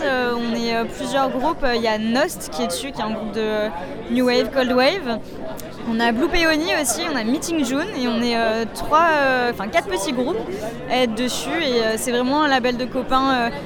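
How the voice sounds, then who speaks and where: A woman's voice: sits high, normal speed. A young woman from the south of France, a musician and DJ, answering interview questions in a chatty, hesitant way with many fillers. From Sète, south of France. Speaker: musician and DJ, guest. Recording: noisy crowd in background.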